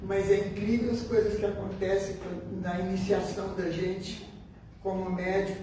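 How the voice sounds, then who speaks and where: A woman with a southern Brazilian accent from Rio Grande do Sul tells a personal story informally to a group, repeating words for emphasis in an animated way. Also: medium pitch, moderate pace. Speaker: spiritist medium giving testimony. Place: echoey meeting room with a group. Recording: very echoey room, distant mic, faint noise.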